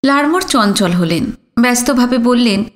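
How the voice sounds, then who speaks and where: A woman's voice: on the high side, expressive, normal speed. A woman reading literary fiction aloud as a scripted audio story narration.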